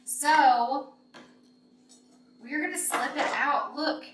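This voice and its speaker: A woman's voice: sits high, drawn-out words. A woman in her thirties hosting a casual home baking demonstration, chatting informally and directly to viewers. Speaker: home baking vlog host. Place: home kitchen, indoors. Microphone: camera a few feet away. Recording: echoey kitchen, background noise, dish clinks.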